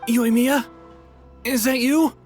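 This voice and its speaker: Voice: medium pitch, steady tone, slow, a little mellow, natural voice. An actor playing a timid, apologetic fugitive in a video game, speaking hesitantly. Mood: nervous. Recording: music under the voice.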